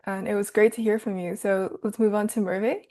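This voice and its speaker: A woman's voice: mid-range voice, fairly even intonation, fairly quick, darkish tone. A woman moderating a panel discussion, thanking speakers politely and moving to the next. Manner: polite, appreciative.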